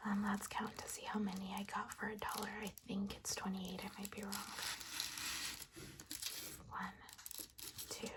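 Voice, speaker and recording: A young woman hosting an ASMR video, speaking softly in a whispery, sometimes raspy voice and chatting in a rambling way with fillers. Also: medium pitch. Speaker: ASMR channel host. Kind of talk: soft-spoken ASMR.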